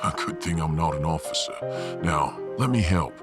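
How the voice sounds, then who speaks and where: A man voicing a rough-hewn, remorseful handyman, halting and plain-spoken, in a sci-fi audiobook. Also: rumbling low. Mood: remorseful, earnest. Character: big, remorseful, rough-hewn Australian refugee handyman, former miner. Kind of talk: sci-fi audiobook character acting. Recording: music behind the voice.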